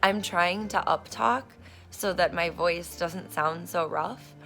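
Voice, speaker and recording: A woman vlogging at home on her phone, her voice hoarse and rough, chatting in a meandering way with lots of fillers and casual swearing. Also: lowish voice, slow pace, a bit bright. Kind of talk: casual rambling vlog chat. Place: at home, in a room being turned into an office. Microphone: phone camera recording herself.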